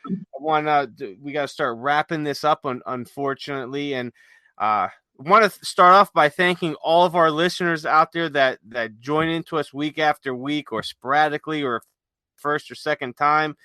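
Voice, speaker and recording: Man, podcast host, very bright forceful voice, slightly high pitch, dry sound, casual rambling chat. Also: moderate pace, no room sound.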